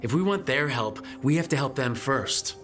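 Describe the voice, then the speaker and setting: An actor playing a steady, encouraging leader of a band of heroes in a video game. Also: male voice, mid-range voice, normal speed, soft background music, lightly acted.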